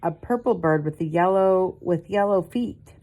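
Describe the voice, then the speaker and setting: A stubborn little boy bear from a storybook, voiced by an older woman, pushing his voice up above a low register. He is emphatic and quick to protest, with a dismissive streak. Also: warm tone, natural voice, rough recording. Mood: fibbing.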